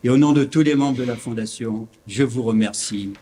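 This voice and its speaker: A man representing a foundation, closing his speech with formal, measured thanks. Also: medium pitch, dark tone, slight background noise.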